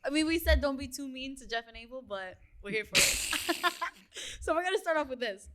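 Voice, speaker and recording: A woman's voice: high voice, expressive, fairly quick, a bit bright. A woman in her late twenties, a guest on a podcast, chatting in a playful, upbeat way. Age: 20s. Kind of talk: casual podcast chat.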